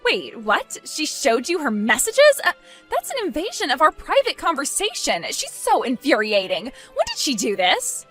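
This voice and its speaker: A woman's voice: high-pitched, wildly swooping pitch, normal speed, a bit bright, soft background music, exaggerated voice. A woman voicing a spoiled, self-centred young bride in a dramatized story, petulant and pleading. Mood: shocked, annoyed.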